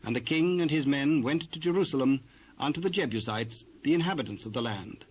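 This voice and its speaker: Narrator for audio Bible: British man, mid-high register, measured and formal, dull cassette with slight hiss. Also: darkish tone, slightly rough. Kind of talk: scripted narration, dramatised Bible reading. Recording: dull, noisy old cassette.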